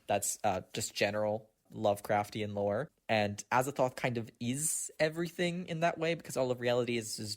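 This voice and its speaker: A man leaving a voice message, casually explaining fan theories in a keen, earnest way. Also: mid-range voice. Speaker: listener sending a voice message.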